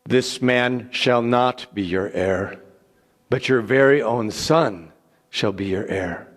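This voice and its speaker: A man's voice: medium pitch, slow pace, in a large hall, close to an everyday voice. A man voicing God in a dramatic scripture reading, solemn, authoritative and emphatic in his declarations. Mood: solemn promise. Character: God, solemn authoritative male giving promises and commands.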